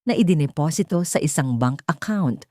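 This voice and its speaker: A woman narrates a dramatic love story in a low, mellow voice. The recording is dry and close, with very little room sound. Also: lively intonation, normal speed, dry recording. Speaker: narrator of audio love stories.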